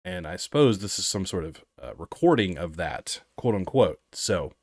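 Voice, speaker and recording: A man with a lowish voice hosts a casual let's-play, his voice snuffly from a cold. He talks loosely and rambles, with frequent fillers and trailing asides. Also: very animated, normal speed, darkish tone, dry recording, clean studio sound. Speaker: gaming channel host.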